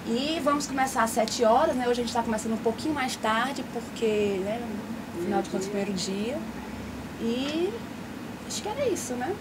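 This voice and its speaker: A woman's voice: a little high, animated, normal speed. A woman casually welcoming a group as the organizer of a course. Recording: clear background room noise.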